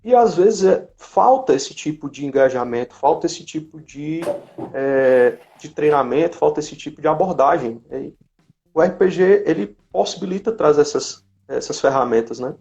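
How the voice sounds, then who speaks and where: A man's voice: a little high, expressive, moderate pace, vocal fry, a little mellow. A Brazilian man hosting a casual live stream, reading questions and commenting, heard over slightly dull internet-call audio.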